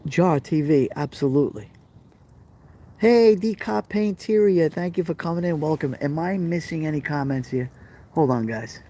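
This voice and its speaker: Brooklyn-accented man, middle-aged, dark mellow tone, casual live stream host, repeats phrases. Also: on the high side, moderate pace. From Brooklyn, New York. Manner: chatty, repeats phrases, talks straight to viewers. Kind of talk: casual live stream chat reading comments.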